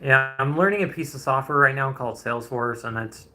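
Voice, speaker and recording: A man's voice: mid-range voice, brisk, pushed and bright. A man in his twenties, a streamer chatting casually and rambling with viewers during a painting livestream, chuckling often. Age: 20s.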